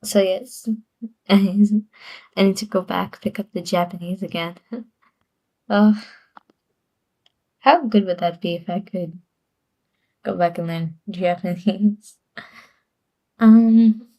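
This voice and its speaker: Slow, mellow-voiced giggly woman streamer. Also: mid-range voice, fairly even intonation. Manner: giggly, rambling, laughs often. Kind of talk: casual livestream chat.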